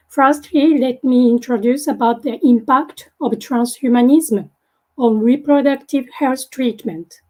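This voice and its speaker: A woman from Japan with a Japanese accent, a native Japanese speaker, giving a halting presentation over a video call with many fillers and restarts. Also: a little high, darkish tone, low-quality recording. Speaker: guest presenter.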